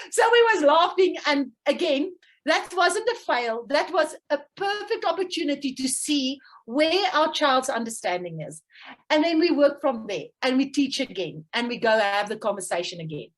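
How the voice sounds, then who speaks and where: High-voiced Afrikaans-woman coach. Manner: warm storytelling, laughs often, checks in with "okay". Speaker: parenting coach. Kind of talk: interview guest explaining.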